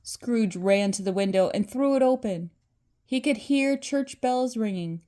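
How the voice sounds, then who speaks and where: Slow-paced older woman reading a storybook. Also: on the high side, warm tone. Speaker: grandmother reading to children. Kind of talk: storybook read-aloud narration.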